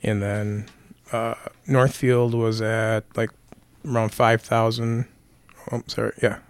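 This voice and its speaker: Hoarse Midwestern lawman, plainspoken. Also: lowish voice, level tone, slow pace, no room sound. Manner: plainspoken, many fillers. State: hoarse from being sick. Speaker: county sheriff guest. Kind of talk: casual interview answers.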